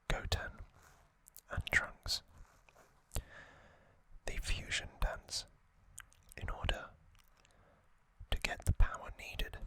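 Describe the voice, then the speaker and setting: A man hosting an ASMR series, narrating trivia in a breathy, unvoiced whisper with deliberate short phrases and pauses. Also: sharp tone.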